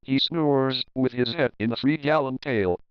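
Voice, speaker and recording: A man's voice: mid-range voice, measured pace, clean tone, dry recording, lo-fi sound. A man narrating a children's picture book as a readalong, reading rhyming verse expressively and rhythmically. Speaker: storybook narrator.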